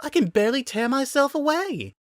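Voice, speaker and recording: A man voicing an excitable, sweet young princess who gushes girlishly in a dramatic story reading. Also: very high voice, brisk, no echo, clean studio sound, voice pushed up. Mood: gushing, excited.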